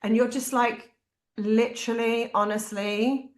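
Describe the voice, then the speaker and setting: A woman with a British accent, a parent giving candid, animated advice to other parents. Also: on the high side, unhurried. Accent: British English. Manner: animated, acts out quoted voices. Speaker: parent speaker. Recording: echoey room.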